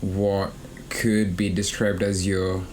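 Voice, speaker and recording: A young man from Kenya co-hosting a student podcast, speaking thoughtfully with frequent pauses and fillers. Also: deep voice, flat delivery, a little mellow, slight background noise. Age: young adult. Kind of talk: casual conversation.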